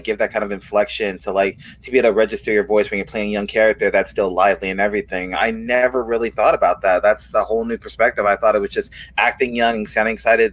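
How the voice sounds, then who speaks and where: A man chatting on a casual video-call panel, animated and quick to laugh. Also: on the low side.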